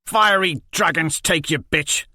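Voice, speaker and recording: A man's voice: fairly high pitch, fairly even intonation, measured pace, no echo, studio quality, voice pushed up, lightly acted. A man reading a story, voicing a hot-tempered, pious teenage girl who scolds and threatens. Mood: angry. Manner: heated, scolding.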